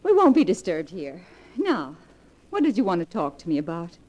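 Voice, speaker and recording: An actress playing a possessive, doting young society belle with melodramatic delivery in a 1940s radio drama. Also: female voice, fairly low pitch, wildly swooping pitch, moderate pace, noisy background. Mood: adoring, gushing. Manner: melodramatic, breathlessly theatrical. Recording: old 1940s radio recording, music behind.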